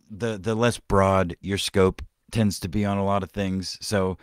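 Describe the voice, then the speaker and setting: A man's voice: lowish voice, no room sound, studio quality. A man hosting a podcast, rambling and swearing through casual commentary, with many restarts and trailing-off sentences. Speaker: podcast host.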